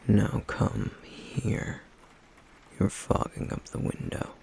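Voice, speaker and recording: A man voicing a stoic, guarded flame demon in an intimate ASMR roleplay, with a breathy, gravelly murmur and a terse, deadpan, caring manner. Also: slow, slight background noise, lightly acted. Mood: gruffly caring.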